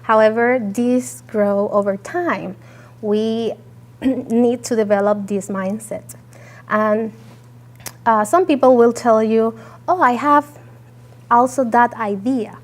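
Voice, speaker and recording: A woman's voice: a little high, fairly even intonation, takes it slowly. A woman from Puerto Rico, a native Spanish speaker with a Puerto Rican Spanish accent, giving an earnest talk with frequent fillers. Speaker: guest speaker. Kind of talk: presentation talk.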